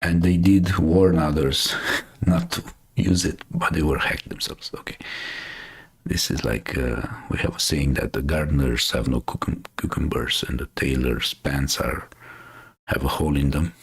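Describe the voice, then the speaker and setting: Husky low-voiced Romanian man. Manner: halting, thinking aloud with many fillers. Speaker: host. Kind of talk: casual live news commentary.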